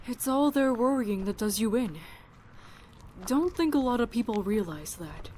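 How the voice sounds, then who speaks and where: A woman's voice: on the high side, expressive, moderate pace, darkish tone, slight background noise, natural voice. An actress playing a perceptive woman doctor in a zombie apocalypse audio drama, chatty and matter-of-fact. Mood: frank, understanding.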